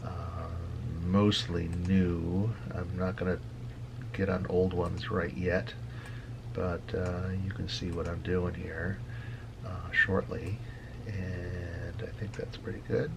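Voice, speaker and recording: A man giving a casual tutorial as a hobby video host, talking haltingly with frequent hesitant pauses. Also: sits low, level tone, noisy background. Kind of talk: casual screen-share tutorial.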